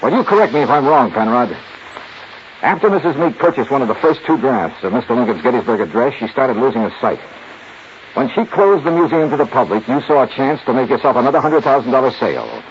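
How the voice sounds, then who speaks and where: A muffled, slightly noisy old radio recording of a shrewd American male investigator. He talks in a clipped, sardonic way with very lively intonation, confident and hard-boiled in the 1950s detective manner. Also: medium pitch, fast. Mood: accusing, confident. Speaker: lead actor and narrator.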